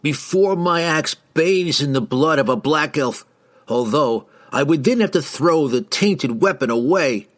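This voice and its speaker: Man as a haughty, hostile mounted guardsman, lively. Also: medium pitch, measured pace, voice pushed up, close to an everyday voice. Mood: taunting. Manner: pompous, sneering, archaic formal speech. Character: haughty, hostile male town rider, leader of patrol. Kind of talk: fantasy audiobook character voice.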